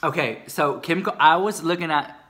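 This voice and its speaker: A man's voice: on the high side, moderate pace, sharp tone. A man hosting a comedy vlog from a small room, sassy and dramatic, repeating "no, ma'am.". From Texas. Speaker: comedy YouTube host. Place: small indoor room with shelves.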